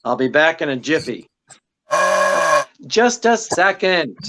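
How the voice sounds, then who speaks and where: Man acting unhurried, wry 1940s radio detective husband. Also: on the high side, very animated, bright, forceful tone, heavily acted. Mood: amused, affectionate. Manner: wry, debonair. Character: Nick Charles, suave, wry, affectionate husband and detective.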